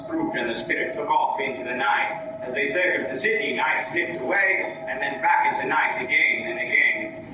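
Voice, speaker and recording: A man's voice: a little high, huge pitch swings, normal speed. A man playing a grandiose narrator and announcer in dramatic old-time radio style. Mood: grand storytelling. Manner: theatrical, grandiose. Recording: echoey live stage, distant microphone, music.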